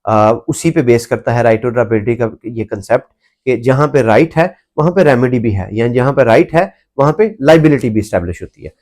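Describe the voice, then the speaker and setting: A man's voice: mid-range voice, animated, fairly quick, darkish tone. A man with a Pakistani accent giving an explanatory law lecture, methodically rephrasing points and mixing in English legal terms.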